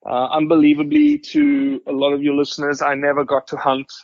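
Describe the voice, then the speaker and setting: A man's voice: on the high side, big pitch swings, fairly quick, gravelly, darkish tone, no room sound. South african guy in his 40s chatting as a podcast guest, humble, dry close sound, a bit lo-fi. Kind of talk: casual interview storytelling.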